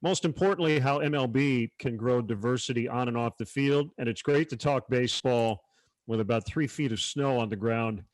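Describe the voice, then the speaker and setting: A man's voice: mid-range voice, moderate pace, dry recording, low-quality recording. A man working as an announcer, hosting a virtual sports panel discussion. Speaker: panel host, baseball announcer.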